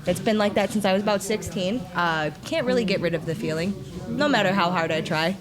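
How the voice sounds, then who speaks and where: A woman's voice: medium pitch, brisk. A woman in her late twenties, a podcast guest speaking frankly and a bit self-deprecatingly. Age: late 20s. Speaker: podcast guest, jiu-jitsu athlete. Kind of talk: casual podcast chat. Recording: noisy room with crowd chatter.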